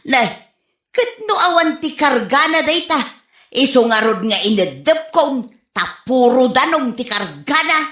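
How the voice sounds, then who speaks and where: A woman playing an excitable, nagging wife in an Ilocano comedy radio drama, exclaiming and scolding theatrically with an Ilocano accent. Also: high voice, a little slow, full character voice. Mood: fussy complaint. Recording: roomy, echoey radio drama recording.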